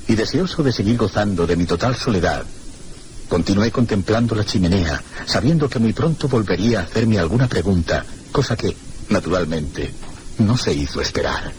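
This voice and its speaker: A male actor plays a melancholy, reserved gentleman from a radio mystery tale, with a lowish Castilian voice. He speaks in measured, reflective, courteous phrases, over a noticeably noisy old recording. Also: close to an everyday voice. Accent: Castilian Spanish. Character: melancholy, reserved one-armed male guest narrating his tale. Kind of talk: Spanish radio mystery drama, first-person narration.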